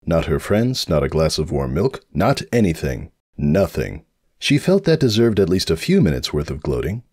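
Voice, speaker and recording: A man reading scripted comic fiction as a dramatic narrator, mock-grandiose with deadpan asides. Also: deep voice, moderate pace, slightly rough.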